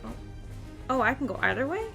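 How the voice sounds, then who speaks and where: High-voiced woman gamer, excitable. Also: fairly quick, vocal fry, music in the background. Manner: excitable, gushing. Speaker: gamer, channel host. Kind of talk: casual gameplay commentary, reading quest dialogue aloud.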